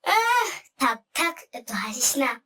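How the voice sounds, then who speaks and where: A woman's voice: high-pitched, very animated, slow, over music, full character voice. A woman voicing a small animal who chides and warns in a children's cartoon. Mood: chiding. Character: small scolding animal warning of the lion king.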